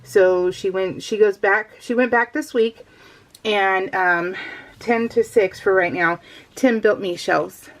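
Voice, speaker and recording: A woman's voice: medium pitch, slow pace, low-quality recording. A middle-aged woman chatting casually as a craft vlogger, giggly and easily sidetracked as she rambles along. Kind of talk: casual chat, rambling vlog.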